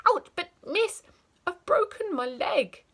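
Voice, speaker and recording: A woman voicing a timid young schoolchild who pleads in a high, whiny voice. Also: animated, takes it slowly, fairly bright tone, full character voice. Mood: pleading.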